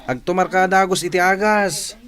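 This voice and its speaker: Man acting cocky high-voiced young Ilocano guy. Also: moderate pace, fairly bright tone. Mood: concerned. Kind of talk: radio comedy-drama acting.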